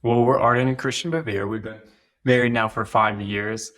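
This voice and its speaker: A young man chatting as a guest on a podcast, in a voice of ordinary pitch. He rambles and circles back, restarting his sentences as he goes, in an easy conversational way. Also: steady tone, moderate pace, a bit bright. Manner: rambling, restarts phrases often.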